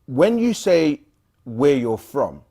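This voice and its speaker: A man co-hosting a casual livestream, reading viewer questions aloud and answering them with frequent pauses and fillers. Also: fairly high pitch, creaky. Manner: relaxed, frequent "um" and restarts, reads questions aloud.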